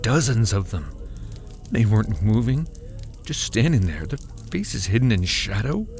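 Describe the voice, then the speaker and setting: A man acts out a weary family man recounting a frightening cabin getaway for an audio drama. His delivery is slow and heavy with pauses, the pitch moving widely as he narrates in a slightly low voice over prominent music. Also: sing-song, a little mellow. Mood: tense, frightened. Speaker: narrator and actor.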